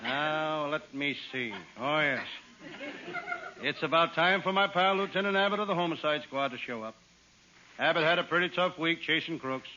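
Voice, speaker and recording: A deadpan wisecracking private eye. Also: male voice, fairly high pitch, moderate pace. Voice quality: deadpan punchline delivery. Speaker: comic detective narrator. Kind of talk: 1940s radio comedy acting. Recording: dull old radio transcription with hiss.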